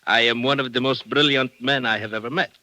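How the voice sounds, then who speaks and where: An actor playing a genial, cunning bandit chief in an old-time radio drama, boastful and courtly, with an Italian accent. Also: male voice, medium pitch, fairly even intonation, moderate pace, sharp tone, no echo, low-quality recording. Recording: vintage radio drama recording.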